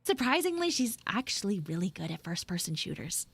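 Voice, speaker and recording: A woman voicing a bratty, dramatic tsundere anime girl in a live improvised audio roleplay, theatrical and whiny, with drawn-out words. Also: medium pitch, very animated, slightly rough, no echo. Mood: playful, chatty. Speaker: streamer, voice actor.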